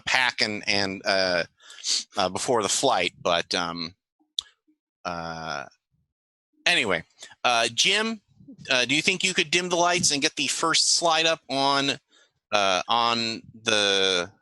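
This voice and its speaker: A man voicing a wry, businesslike female agent who gives a halting briefing in a tabletop game. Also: medium pitch, lively intonation, pushed and bright, dry recording, heavily acted, low-quality recording. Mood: dry, businesslike. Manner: halting, dryly joking.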